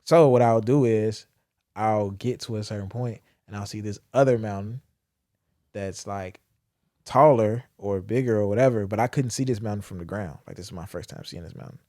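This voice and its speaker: A young man talks in loose, candid podcast chat, cursing casually as he reflects. His voice sits on the low side. The sound is dry and close, with little room around it. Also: moderate pace, mellow, no room sound. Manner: candid, profane, reflective storytelling. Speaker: podcast host.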